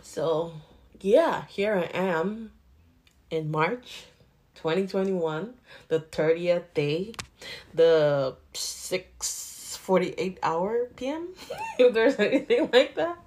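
A woman's voice: fairly low pitch, animated, very slow, darkish tone. A woman in her late twenties with a Nigerian accent that drifts toward Canadian, chatting candidly and rambling on her own podcast. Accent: Nigerian mixed with Canadian. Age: 20s. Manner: rambling and candid, with laughs and swearing. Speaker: podcast host. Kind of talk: casual solo podcast chat.

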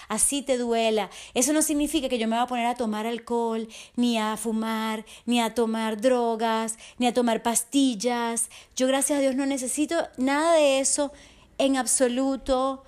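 A slightly hoarse woman speaking as a coach in an earnest, heartfelt motivational podcast monologue, often checking in with the listener. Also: fairly high pitch, fairly even intonation, unhurried, a little mellow. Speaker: resilience and fitness coach.

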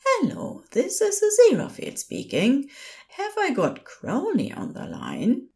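Woman as male nurse in audio fiction: high, lilting, prim, courteous, soothing, unhurried. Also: creaky, heavily acted. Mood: courteous, patient. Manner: polite, formal, calm.

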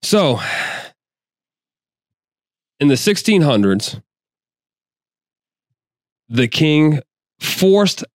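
A man's voice: a little high, big pitch swings, very slow, pauses often, no echo, clean studio sound. A young man co-hosting a casual podcast, blunt, profane and lecturing. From New England. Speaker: podcast co-host.

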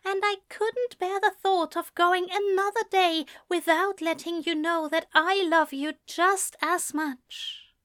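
A woman voicing a gentle, prim male angel in love, speaking softly and carefully with a plaintive, formal manner. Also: extremely high pitch, fairly even intonation, takes it slowly, no echo, clean studio sound, voice pushed up, full character voice. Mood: plaintive, loving.

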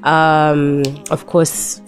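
A woman's voice: sits low, completely flat delivery, extremely slow, soft background music, low-quality recording. A young woman guest on a podcast, chatting casually, with a stuffy nasal voice from a cold and frequent laughter. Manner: giggly, chatty, laughs often.

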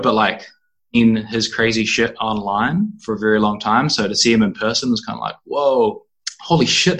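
Young man, New Zealand accent, lowish pitch, casual interview guest, informal wording. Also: a bit bright, poor audio. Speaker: interview guest, animal rights activist.

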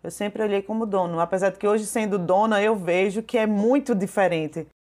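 A businesswoman, telling warm personal stories in an interview. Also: mid-range voice, a little fast. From João Pessoa, Paraíba, Brazil. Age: 30s. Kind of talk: interview testimony.

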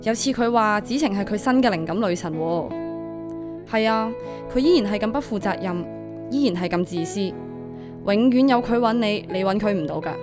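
A woman's voice: medium pitch, animated, normal speed, music in the background, lightly acted. An actress playing a devoted, vulnerable young single mother in a Hong Kong Cantonese audio drama, speaking in a heartfelt way. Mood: calm, reflective. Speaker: voice actor. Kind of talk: audio drama acting with first-person narration.

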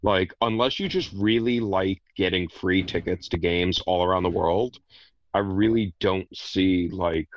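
A man's voice: low-pitched, dry recording, muffled recording. A man co-hosting a casual sports podcast over a call, hedging with a lot of "like". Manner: slow, hedging with likes.

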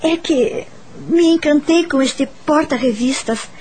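Radio soap lead: polite young Brazilian woman, timid, higher-pitched, some background noise. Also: a little slow, darkish tone. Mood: timid, grateful. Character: young woman teacher Ana, polite, timid, grateful, narrating her story. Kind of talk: radio soap opera acting and narration.

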